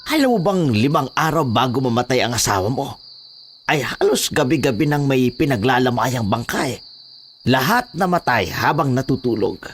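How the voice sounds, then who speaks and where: A man's voice: medium pitch, animated, normal speed, a little hiss or hum, pitched above the performer's own voice, lightly acted. A man voicing an older village man, urgent and reproachful, in a horror audio drama. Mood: ominous. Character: scolding older village man, armed ally. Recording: background music under the voice.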